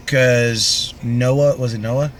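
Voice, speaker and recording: A man's voice: mid-range voice, steady tone, extremely slow. Laid-back man chatting, faint background noise. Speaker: podcast co-host. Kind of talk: casual chat.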